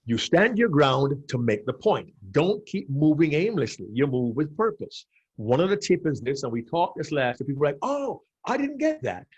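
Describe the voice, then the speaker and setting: A man's voice: a little high, sing-song, normal speed, a little mellow, breathy edge, highs cut off. A man in his fifties from Jamaica, coaching on public speaking as a podcast guest, emphatic and given to repeating his key phrases. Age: 50s. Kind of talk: animated coaching explanation.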